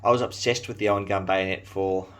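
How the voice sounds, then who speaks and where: A man's voice: deep voice, steady tone, fast. A man from New Zealand with an Australian accent, chatting enthusiastically as a collector showing his collection in a casual vlog, with frequent fillers. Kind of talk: casual show-and-tell vlog.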